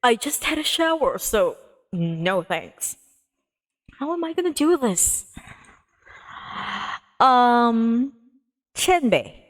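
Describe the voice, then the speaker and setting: A woman's voice: a little high, very animated, slow pace, long pauses. A woman livestreamer reading a visual novel aloud expressively, with playful casual asides. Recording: music behind the voice. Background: music.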